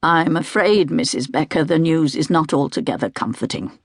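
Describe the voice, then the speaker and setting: Woman as a soft, unhurried older male doctor. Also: low voice, gravelly, breathy edge, dry recording, clean studio sound, heavily acted. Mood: grave, gentle. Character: mild, unhurried older male neurologist, quietly clinical.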